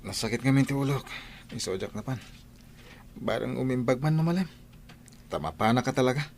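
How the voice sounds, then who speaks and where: Actor as young Ilocano man, mid-pitched, sulky, lovesick, reluctant, radio drama, slight background noise. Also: lively intonation, a little fast, natural voice. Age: young adult. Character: lovesick young man, sulky hard-drinking older brother.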